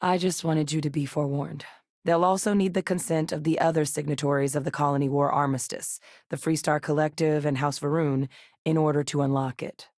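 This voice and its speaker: A steady soldier-scientist. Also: female voice, low-pitched, no room sound. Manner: measured, sincere, soldierly. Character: seasoned female soldier-scientist, earnest and steady. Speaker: game character voice actor. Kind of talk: studio video game dialogue. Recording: dry studio recording.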